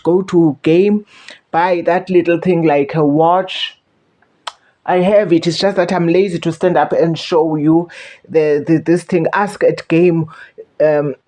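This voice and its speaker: A woman's voice: sits low, darkish tone. A South African woman telling a travel story to her viewers in a chatty way, repeating words as she warns them. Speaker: vlogger. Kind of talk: casual storytelling to camera.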